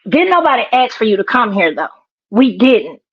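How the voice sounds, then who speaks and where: A woman's voice: fairly high pitch, sing-song, normal speed, slightly rough, no room sound. A woman caller, blunt and scolding, swearing heavily in casual, heated talk over dull call audio. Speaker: guest caller.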